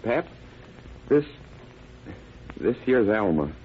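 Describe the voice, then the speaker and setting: An actor playing an earnest, stubborn young country son with a folksy rural American accent, in old-time radio drama style. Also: male voice, on the low side, very animated, slow, slight background noise. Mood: coaxing. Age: 20s. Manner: plain-spoken, folksy, insistent. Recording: tinny old radio recording.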